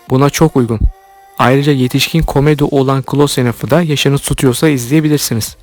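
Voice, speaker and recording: Higher-pitched man narrating commentary over music. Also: a little mellow. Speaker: YouTube host commenting on cartoons. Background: background music.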